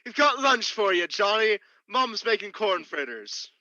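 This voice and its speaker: A man's voice: high-pitched, big pitch swings, a little slow, bright, forceful tone, no echo, dull audio, full character voice. A man playing a cheery, boisterous long-lost brother in a radio play. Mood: cheerful.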